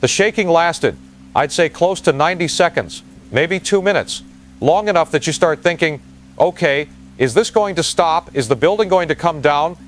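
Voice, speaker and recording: A man's voice: fairly high pitch, animated, moderate pace, a little hiss or hum, lightly acted. A man playing a shaken field reporter giving a hesitant, vivid eyewitness disaster dispatch in an audio drama. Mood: alarmed.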